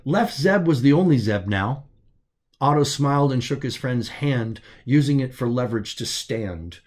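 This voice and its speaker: A man's voice: mid-range voice, expressive, moderate pace, a little mellow. A man reading science fiction as scripted audiobook narration, telling the story as its author.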